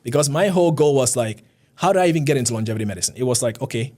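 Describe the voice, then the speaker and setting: A man in his forties talks quickly and earnestly, circling back to repeat his points. His voice sits at an ordinary middle pitch for a man. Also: expressive, dark tone. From Ghana. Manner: earnest, rapid, repeats himself. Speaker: physician guest. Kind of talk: casual interview talk.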